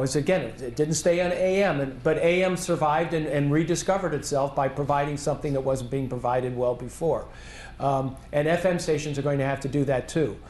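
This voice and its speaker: Male conference presenter, Q&A, measured and thinking aloud, slightly high voice, roomy hall sound. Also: a little mellow. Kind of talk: conference Q&A answer.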